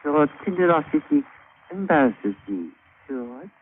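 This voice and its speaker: Slow, high-voiced sly witch doctor. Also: sing-song. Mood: sly, amused. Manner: clipped broken English, slow and sardonic. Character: sly, scheming male island witch doctor, menacingly amused. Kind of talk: old-time radio drama acting. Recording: tinny, faintly hissy old radio recording.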